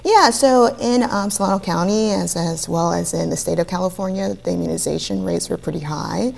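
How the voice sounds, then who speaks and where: A woman's voice: on the low side, brisk, a little mellow. A woman from Virginia, a physician, answering interview questions in a casual way with some hesitation. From Virginia, US East Coast. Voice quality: gravelly, creaky. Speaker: physician, guest.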